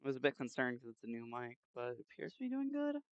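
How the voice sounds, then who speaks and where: Guy streaming games on a headset mic, kinda muffled and dry, pitch moves around a lot. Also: wildly swooping pitch, quick pace, dry recording. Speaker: game streamer, host. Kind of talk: casual livestream chat. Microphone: new headset microphone.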